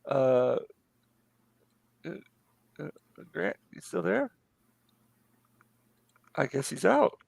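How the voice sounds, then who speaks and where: A man hosting a live art stream, losing his voice and talking in a relaxed, rambling way with many fillers. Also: a little high, extremely slow, gravelly, pauses often, no echo. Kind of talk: casual late-night chat.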